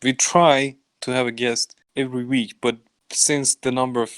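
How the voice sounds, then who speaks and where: A man's voice: mid-range voice, little pitch movement, moderate pace, fairly bright tone, clean tone, no echo. A man co-hosting a casual podcast, joking around in a mock-grumpy way and swearing casually. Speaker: podcast co-host.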